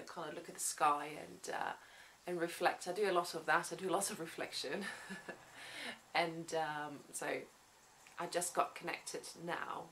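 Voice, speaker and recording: A woman's voice: low-pitched, a bit bright, slight background noise. A woman in her thirties vlogging at home about her day as a lawyer, chatty and reflective, with many ums and restarts, recorded on a handheld camera in a small living room. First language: Swedish. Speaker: vlogger, human rights lawyer. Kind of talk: casual day-in-the-life vlog. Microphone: phone or camera at arm's length, then camera on the floor.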